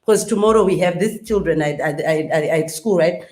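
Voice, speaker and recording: A woman's voice: sits low, moderate pace, darkish tone. Woman, panel guest, earnest with many restarts; echoey room. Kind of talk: discussion panel.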